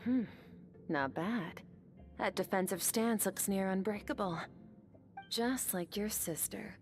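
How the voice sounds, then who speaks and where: A woman voicing a cool, stern swordswoman mentor who speaks in a calm, detached, measured way. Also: mid-range voice, music in the background, close to an everyday voice. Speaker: combat trainer.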